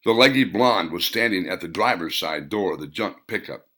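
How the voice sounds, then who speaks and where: A man reading his own novel aloud as an audiobook narrator, in a measured delivery that slows on key phrases. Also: sits low, expressive, fairly bright tone.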